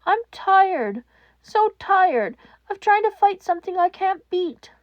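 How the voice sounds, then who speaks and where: A woman voicing a despairing teenage boy who speaks softly, in trembling near whispers. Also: very high voice, sing-song, slow, no room sound, highs cut off, voice pushed up, exaggerated voice. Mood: pleading.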